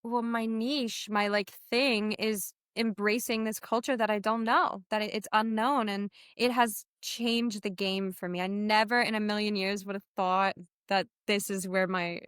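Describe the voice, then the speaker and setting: A woman from the United States, a podcast guest, chatting casually and excitedly, acting out quotes as she tells stories. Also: mid-range voice, unhurried, few pauses, clear, resonant tone, no room sound, studio quality. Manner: excitable, animated storytelling with acted-out quotes. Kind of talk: casual chat.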